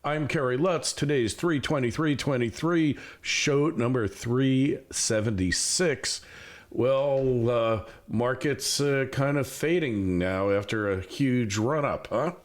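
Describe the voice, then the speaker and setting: A man's voice: medium pitch, slow pace. A man hosting a podcast, talking casually as he interviews a guest about the news. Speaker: podcast host.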